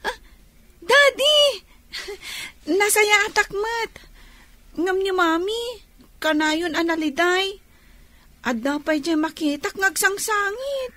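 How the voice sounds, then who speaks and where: A woman's voice: extremely high pitch, animated, slow, pauses often, bright, forceful tone, dry recording, full character voice. A woman acting an innocent, questioning young child in a radio drama. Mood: sad, confiding.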